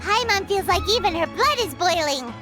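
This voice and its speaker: A woman voicing a tiny childlike fairy companion, chirpy, eager and squeaky, in a video game. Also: expressive, moderate pace. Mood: curious, upbeat. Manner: speaks of herself in third person, exclaiming. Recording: loud music under the voice.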